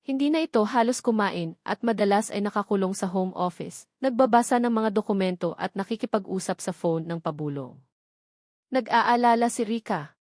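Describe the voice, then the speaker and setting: A woman reading a scripted dramatic story as narrator, in a measured, solemn storytelling delivery. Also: mid-range voice, a little mellow, no room sound, studio quality.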